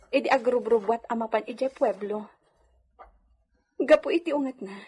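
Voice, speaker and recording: A woman's voice: high voice, a little mellow, husky. A woman acting a meek, devoted young wife in an Ilocano radio drama, with an Ilocano accent.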